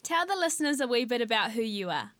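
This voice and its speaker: An upbeat woman hosts a podcast from a studio. Her voice sits a touch high, and she talks in a friendly, casual way. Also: very animated, fast, fairly bright tone, dry recording. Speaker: podcast host. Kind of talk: podcast hosting and interview.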